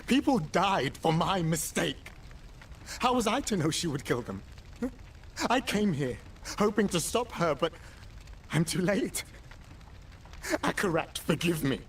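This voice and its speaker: A man playing a guilt-stricken, grieving survivor in a video game, halting and anguished. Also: a little high, sing-song, slight background noise. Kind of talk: dramatic video game voice acting.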